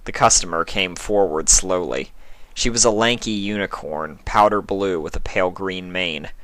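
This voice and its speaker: A man's voice: low-pitched, normal speed, a bit bright, no room sound. A man reading scripted fan fiction as a narrator.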